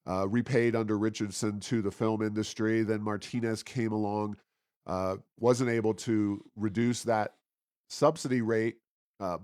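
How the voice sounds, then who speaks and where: A man's voice: mid-range voice, no echo, clean studio sound. A man hosting a talk podcast, giving unscripted political and economic commentary in a discursive, argumentative manner.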